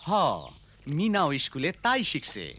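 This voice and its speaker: A man's voice: high voice, big pitch swings, a little slow, sharp tone, exaggerated voice. A man playing an agreeable, plain-spoken villager in a children's cartoon, with a Bangladeshi accent. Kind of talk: children's educational cartoon acting.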